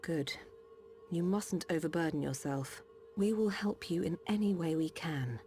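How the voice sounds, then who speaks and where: An actress playing a calm, dutiful village doctor of a machine race in a video game cutscene, over music. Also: female voice, on the low side, measured pace, a little hiss or hum. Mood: kindly.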